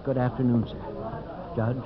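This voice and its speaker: An actor playing a dignified, principled leader of forest settlers in a 1950s radio drama, with a solemn, formal delivery. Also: male voice, medium pitch, flat delivery, normal speed, a crowd in the background, lightly acted. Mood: grave, reproachful. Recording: muffled 1950s radio broadcast, audience sounds.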